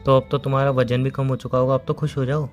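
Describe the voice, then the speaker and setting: A man's voice: medium pitch, flat delivery, fast, soft background music, close to an everyday voice. A young man acting a patient, teasing boyfriend in a phone-call skit, speaking in a caring, coaxing way. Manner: coaxing, playful, soothing. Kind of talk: acted romantic comedy phone-call skit in Hindi.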